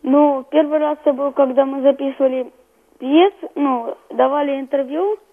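A man's voice: extremely high pitch, fairly even intonation, normal speed, phone-quality sound. A young male guest giving short, hesitant interview answers over a remote connection to a radio studio.

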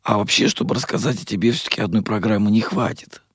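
A man hosting a radio interview, hoarse today, talking warmly and with many pauses and fillers. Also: fairly low pitch, steady tone, no echo, studio quality. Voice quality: husky, gravelly. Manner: warm-hearted, rambling, many fillers. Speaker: radio host. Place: radio studio.

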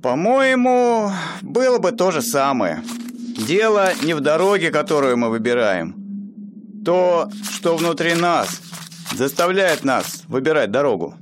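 A man's voice: fairly high pitch, big pitch swings, measured pace, noisy background. An actor playing a loyal male train robber who pleads with his betraying partner in a radio play. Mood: musing. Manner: pleading, then bursting out loudly. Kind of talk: amateur radio play acting.

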